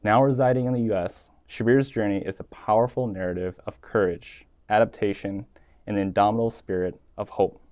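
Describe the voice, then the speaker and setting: A man's voice: lowish voice, fairly even intonation, a little fast, darkish tone, no room sound. A young man hosting a podcast in a small studio room, reading a formal introduction and asking questions in an earnest way. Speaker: podcast host. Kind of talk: scripted intro then interview questions. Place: small dim studio room, seated in armchair.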